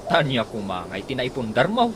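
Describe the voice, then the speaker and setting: A man's voice: mid-range voice, huge pitch swings, normal speed, very noisy. A man with an Ilocano accent acting an idle, hard-drinking grown son in a radio comedy drama, bantering and whiny. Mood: teasing banter.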